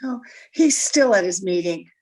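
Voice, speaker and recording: A woman's voice: mid-range voice, lively intonation, moderate pace, a little mellow, close to an everyday voice. An actress playing a mysterious, anxious married lady in an old-time radio crime drama, speaking hesitantly. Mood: guarded. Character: mysterious, frightened, refined married woman.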